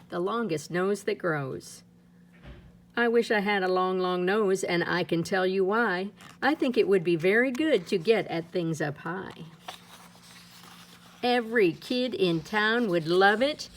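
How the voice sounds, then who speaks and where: A woman's voice: fairly bright tone, slight background noise. Woman reading a kids' storybook. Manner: lilting, playful read-aloud delivery with lots of pitch swings. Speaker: storybook reader. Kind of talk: reading a children's picture book aloud.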